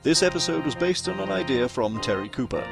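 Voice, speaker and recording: A man's voice: fairly high pitch, very animated, fairly quick, creaky. A man reading scripted closing credits as the narrator of an audio show. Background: music.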